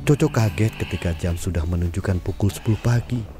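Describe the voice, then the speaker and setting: A man narrating an audio drama in a calm, measured storytelling manner. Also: sits low, music in the background.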